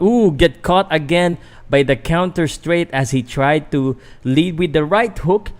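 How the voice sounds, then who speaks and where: A man's voice: medium pitch, clear, resonant tone. Casual man calling a boxing fight. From Philippines. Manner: casual, hesitant, many fillers, answers chat. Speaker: boxing commentator and channel host. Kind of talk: live stream commentary, chatting with viewers.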